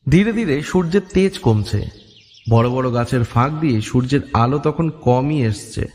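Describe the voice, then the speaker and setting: A man's voice: medium pitch, animated, normal speed, a little mellow. A man narrating a horror story in a dramatic, atmospheric way. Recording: long reverb, music underneath. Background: music.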